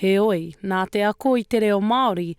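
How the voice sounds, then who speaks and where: Female news voice-over, steady, mid-pitched, dry sound. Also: brisk, clean tone, no room sound. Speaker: news reporter.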